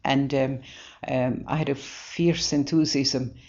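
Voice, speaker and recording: An older woman from Ireland with an Irish accent and a low voice tells her life story to an interviewer. She is earnest and halting, often restarting her sentences. The recording is dull and lo-fi. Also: fairly even intonation, darkish tone. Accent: Irish, Waterford. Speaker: nun. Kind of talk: interview reminiscence.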